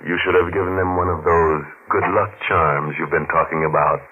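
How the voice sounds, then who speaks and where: A man playing a ghoulish, sardonic radio horror host with morbid humour and a mock-genial, drawn-out delivery in 1940s style. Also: low-pitched, steady tone, a little hiss or hum, close to an everyday voice. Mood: sardonic teasing. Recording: muffled 1940s radio broadcast with music.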